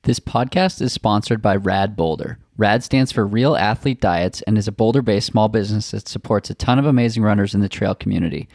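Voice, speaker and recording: A man hosting a running podcast in an easygoing, halting way. His voice sits low with a gravelly fry, and it is recorded dry and close on a podcast mic. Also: no room sound. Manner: relaxed, halting with fillers and restarts. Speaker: podcast host. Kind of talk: casual interview chat.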